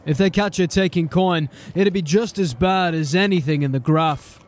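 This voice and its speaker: Male actor as a blunt, jaded city-watch sergeant, plainspoken, slightly high voice, audio drama. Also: moderate pace, lightly acted. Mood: steady, grim. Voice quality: plain-spoken, grim and steady.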